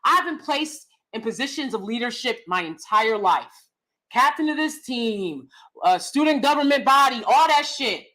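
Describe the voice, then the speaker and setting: A young adult woman hosting a casual commentary vlog, loud and blunt, cursing freely. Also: a little high, lively intonation, moderate pace, low-quality recording. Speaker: vlog host.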